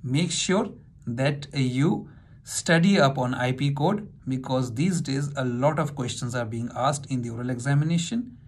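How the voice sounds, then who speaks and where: Man, 40s, maritime lecturer, instructive and steady, occasional fillers, close webcam, small office. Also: medium pitch, measured pace, husky. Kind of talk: explanatory exam-prep lesson.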